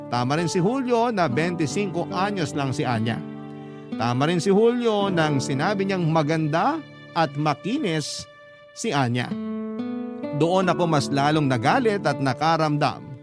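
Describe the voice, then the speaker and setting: A man narrating a dramatic first-person love story in Tagalog as a radio storyteller. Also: a little high. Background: guitar music.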